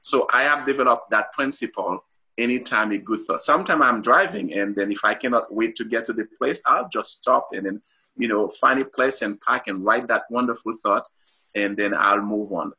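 A man's voice: fairly low pitch, moderate pace, sharp tone, breathy edge, poor audio. A middle-aged man from Haiti, a guest author on a talk show, explains his ideas earnestly with many fillers and restarts.

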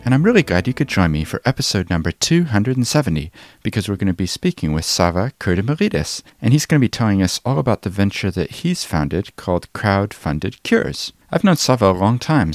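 A middle-aged man hosting a conversational interview podcast. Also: lowish voice.

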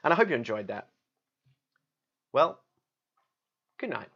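An Australian man narrates a picture book in an easygoing, conversational way. His voice sits at a middle pitch. The recording is a little dull and lo-fi. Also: big pitch swings, measured pace, fairly bright tone, husky. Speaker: guest storybook reader. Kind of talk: storybook narration with casual asides.

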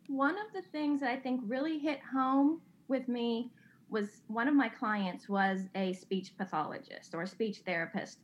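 A middle-aged woman, speaking candidly as an interview guest, earnest and confessional, often restarting her sentences. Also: mid-range voice. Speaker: guest, designer and child advocate. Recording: slightly dull lo-fi sound.

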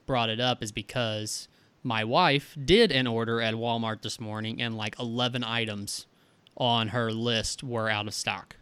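Man, podcast host, smooth clear voice, dry close sound, upbeat banter. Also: mid-range voice, steady tone, a little slow, a bit bright, no room sound. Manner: upbeat scripted intro, then joking banter. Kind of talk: casual podcast chat.

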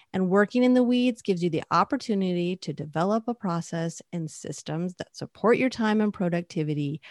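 A woman co-hosting a podcast who delivers prepared points in a steady, earnest way. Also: medium pitch, no room sound, studio quality. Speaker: podcast co-host. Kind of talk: scripted podcast chat.

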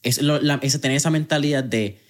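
A man hosting a podcast, talking in a relaxed, conversational and reflective way. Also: on the high side, a little fast. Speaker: podcast host. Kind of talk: casual interview chat.

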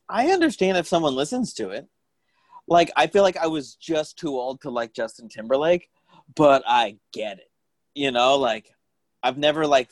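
A man's voice: mid-range voice, no echo. Sardonic man in his thirties, dry close sound. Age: 30s. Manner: joking, sardonic, lots of "like". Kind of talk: casual chat.

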